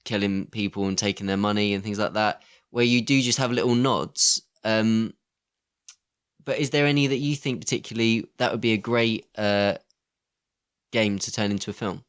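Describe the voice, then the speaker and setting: A man with a fairly low voice co-hosts a casual film podcast. He talks conversationally, putting questions to his partner and offering his own views in everyday language. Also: fairly even intonation, normal speed, fairly bright tone, smooth. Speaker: podcast co-host. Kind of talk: casual film discussion chat.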